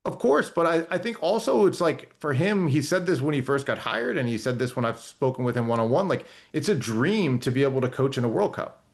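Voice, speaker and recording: A man's voice: mid-range voice, lively intonation, a little fast, gravelly. A man, a soccer reporter joining a talk show as a guest, speaking in a thoughtful, rambling way with frequent restarts and fillers. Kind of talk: casual talk show chat.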